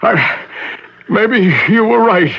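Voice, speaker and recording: Creaky, high-pitched theatrical professor. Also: male voice, takes it slowly, full character voice. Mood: dying, weak. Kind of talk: old-time radio drama acting. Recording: dull old radio recording.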